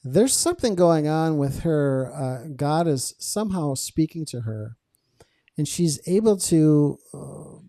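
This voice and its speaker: A man's voice: fairly high pitch, very animated, takes it slowly, warm tone, dry recording, studio quality. A man hosting a conversational podcast, speaking earnestly about faith and posing rhetorical questions as he goes. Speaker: podcast host and interviewer. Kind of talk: conversational podcast interview with religious commentary.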